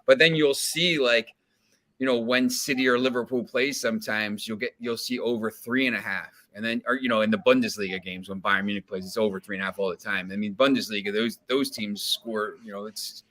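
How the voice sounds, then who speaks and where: A man's voice: fairly low pitch, steady tone, a little fast, a bit bright. A man from New Jersey, a guest on a sports betting podcast, talking casually and rambling with many restarts and fillers. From New Jersey, USA.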